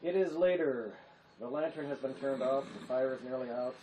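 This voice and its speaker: A man's voice: mid-range voice, moderate pace, mellow. A man narrating a play, reading its stage directions as scripted narration. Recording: noisy amateur recording.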